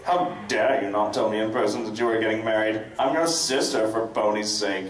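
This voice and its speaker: A man's voice: lowish voice, brisk, natural voice. Man reading as sardonic little-sister unicorn, echoey hall. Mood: indignant, sarcastic. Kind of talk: comedic live dramatic reading. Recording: echoey convention room, distant mic, crowd. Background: convention audience.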